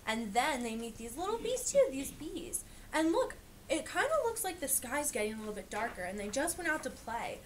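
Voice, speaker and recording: Woman, slightly high voice, children's read-aloud, explanatory teaching manner, faint background noise. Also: big pitch swings, normal speed. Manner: explanatory, asking and pointing things out to listeners. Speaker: reader teaching young children.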